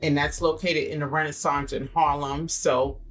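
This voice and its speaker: A woman's voice: sits low, level tone, normal speed. A middle-aged woman hosting a podcast, chatting casually with a guest, laughing often and restarting her sentences. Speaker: podcast host. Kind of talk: casual interview chat.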